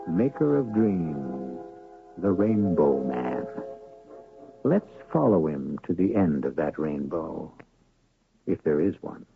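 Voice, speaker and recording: Slow, gravelly radio mystery host. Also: male voice, low-pitched. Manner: measured, dramatic. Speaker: show host and narrator. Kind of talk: scripted radio narration. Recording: lo-fi phone-band old radio recording.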